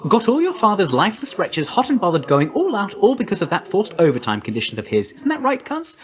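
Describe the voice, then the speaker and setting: A man's voice: high voice, wildly swooping pitch, a little fast. A man voicing a breezy, chummy young messenger god in a video game, with a slangy, glib, friendly manner.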